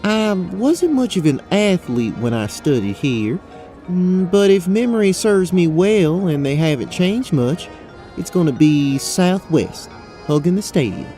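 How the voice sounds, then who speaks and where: An actor playing a dainty, polite Southern belle adventurer with a Southern US accent in a fantasy audio drama. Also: female voice, lowish voice, animated, unhurried, mellow, soft background music. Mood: polite, confiding. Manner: genteel, courteous.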